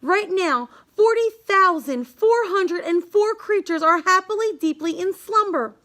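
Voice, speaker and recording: Female storybook reader, extremely high voice, unhurried singsong delivery of children's verse. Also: animated, a bit bright. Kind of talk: read-aloud of a rhyming children's picture book.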